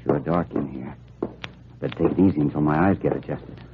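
Very deep-voiced rookie federal agent. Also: male voice, a little fast. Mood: wary musing. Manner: slangy muttering to himself. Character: cocky young rookie federal agent on a stakeout. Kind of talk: 1940s radio acting. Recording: noisy, narrow-band vintage radio recording.

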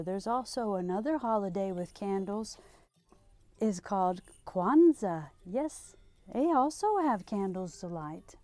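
A woman's voice: medium pitch, darkish tone. A middle-aged woman hosting a children's storytime, reading and chatting in a sing-song way, asking questions and answering them. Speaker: library storytime host.

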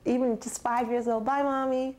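A woman's voice: fairly high pitch, little pitch movement, moderate pace, a little mellow. A woman in her thirties with an American accent, talking casually and from the heart in an interview. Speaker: patient being interviewed. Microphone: lapel mic.

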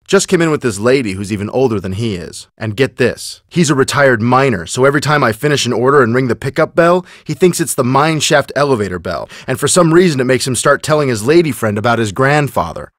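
An actor playing an eager, level-headed young American detective in a video game, talking in an earnest, teasing, conversational way. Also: male voice, mid-range voice, animated, fairly quick, hardly any pauses, dry recording, studio quality, natural voice. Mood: amused, chatty. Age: young adult.

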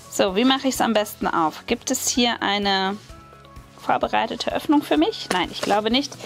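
German woman, craft host, bright higher voice, chatty and eager, soft music behind. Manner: chatty, excited, many fillers. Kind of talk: casual unboxing haul. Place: at a wooden table, hands on camera.

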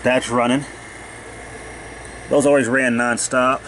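Male hobbyist repairer, mid-pitched, plain-spoken and unscripted, talking to himself on handheld camera audio with faint hum behind. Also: level tone, takes it slowly, fairly bright tone, poor audio. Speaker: hobbyist repairer narrating own video. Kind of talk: casual off-the-cuff repair commentary. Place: cluttered indoor workshop.

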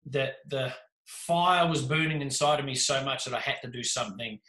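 Bright-voiced man with a New Zealand accent. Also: mid-range voice, normal speed. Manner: animated, gestures a lot, jokey. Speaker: podcast guest. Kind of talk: casual storytelling. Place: small room indoors. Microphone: video call with desk mic. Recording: echoey room.

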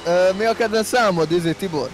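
Male gamer, higher-pitched, excitable and slangy casual banter, heavy car noise in background. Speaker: player in an online roleplay game. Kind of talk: casual gaming banter with swearing. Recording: loud car engine noise throughout. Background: game car engines.

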